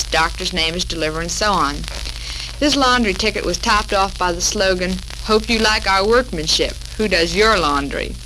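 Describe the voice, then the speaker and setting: A young American woman hosting a scripted 1940s women's radio programme, chatty and witty with carefully phrased delivery. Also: medium pitch. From United States. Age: young adult. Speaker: radio host. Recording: noisy vintage 1940s radio recording.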